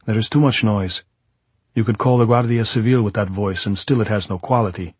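A man's voice: lowish voice, a little fast, darkish tone, breathy edge, no room sound. A man voicing a commanding, scornful guerrilla woman in an audiobook novel, curt and blunt.

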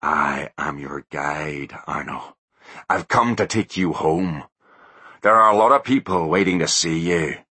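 A man voicing an older male bodyguard who poses as a ghost, speaking slowly, quietly and ominously. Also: rumbling low, husky, no echo, exaggerated voice.

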